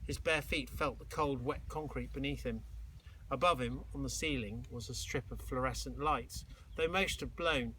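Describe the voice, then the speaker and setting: A man reads a children's story aloud with a bright, forceful voice. His delivery is expressive and dramatic, using pauses to build suspense. There is faint noise behind him. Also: medium pitch, poor audio. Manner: dramatic, with exclaimed sound effects and suspenseful pauses. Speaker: teacher reading aloud to pupils.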